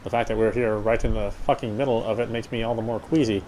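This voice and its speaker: A man's voice: fairly low pitch, little pitch movement, fast talker, background noise. A man reading a horror game's story aloud as dramatic narration, sometimes stumbling and rereading phrases. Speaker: game player reading story text aloud. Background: game music.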